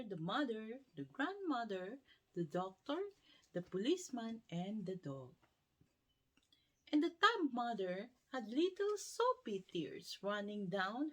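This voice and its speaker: Slow, expressive woman reading a bedtime story. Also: medium pitch. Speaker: storyteller reading a children's book aloud. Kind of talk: bedtime story read-aloud.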